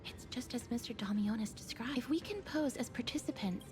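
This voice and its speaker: A woman playing a composed, thoughtful young companion in a video game, speaking in a measured, earnest and articulate way. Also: fairly high pitch, music in the background, lightly acted. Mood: calm, thoughtful. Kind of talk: video game character dialogue.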